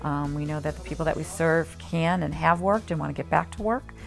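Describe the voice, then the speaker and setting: Low-voiced woman explaining services. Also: creaky, faint music behind. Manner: explanatory, measured, frequent um fillers. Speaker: staff member at a behavioral health center. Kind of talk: promotional interview.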